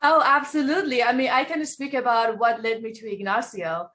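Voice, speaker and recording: A woman's voice: fairly high pitch, steady tone, fairly quick, bright, forceful tone. A woman from Iran speaking earnestly and thoughtfully on a panel as a nonprofit co-founder. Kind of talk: panel talk.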